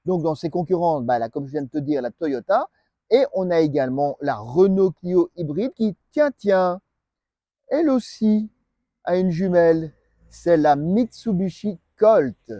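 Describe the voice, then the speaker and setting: A Belgian French-accented man presents a car as if chatting with a mate. His voice is relaxed and mellow, with familiar, slangy phrasing. The sound is dry, with hardly any room on it. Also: on the high side, unhurried, dry recording. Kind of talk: casual, chatty car walkaround addressed to the viewer.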